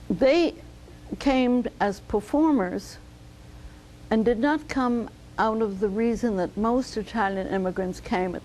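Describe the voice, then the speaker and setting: An older woman, a physician, telling her life story slowly and thoughtfully in an oral history interview. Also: mid-range voice, darkish tone, a little hiss or hum, poor audio. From United States. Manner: measured, reflective storytelling.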